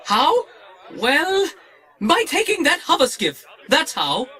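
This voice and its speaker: An actor playing a prissy, scrambled, recklessly giddy humanoid droid in an audio drama, with a fussy, theatrical delivery and music behind him. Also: male voice, very high-pitched, huge pitch swings, takes it slowly, a crowd in the background, full character voice. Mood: indignant.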